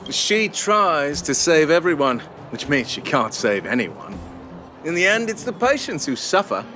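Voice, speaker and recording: A man voicing a world-worn, cynical miner in a video game, blunt and guarded, with music underneath. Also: mid-range voice.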